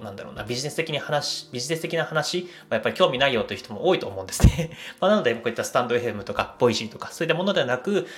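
A man hosts a casual solo audio show at a quick pace. His pitch sits in an average male range. He explains things in halting, hedging phrases, as someone talking off the cuff to listeners. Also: a bit bright. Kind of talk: casual solo podcast talk.

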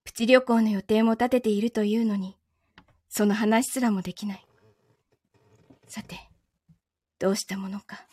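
A woman acting in a Japanese voice drama as a calm, understanding, quietly lonely girlfriend, speaking gently with a Kansai accent. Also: mid-range voice, normal speed, long pauses, dry recording, close to an everyday voice. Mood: lonely, pensive. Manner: composed, gentle, reassuring.

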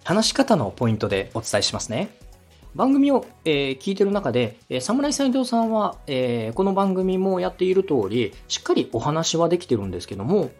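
An animated, slightly husky man hosts a coaching segment on a podcast. He explains things politely and in clear steps, acting out examples, with faint music underneath. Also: fairly high pitch, fairly quick. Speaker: radio director and podcast host giving feedback. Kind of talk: structured coaching talk on a podcast.

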